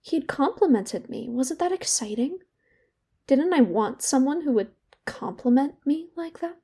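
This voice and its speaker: An actress playing a young woman in therapy in an audio drama, bitter and regretful, confiding in a halting, sardonic, conversational way. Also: high-pitched, animated, dark tone. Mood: sardonic recounting. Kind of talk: naturalistic audio drama monologue.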